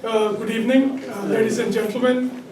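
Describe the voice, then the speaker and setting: A middle-aged man with a Pakistani accent and a hoarse throat, giving a halting campaign speech as a political candidate. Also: very high-pitched, fairly even intonation, a crowd in the background. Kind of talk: campaign speech to a community audience. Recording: echoey hall, distant mic, background noise.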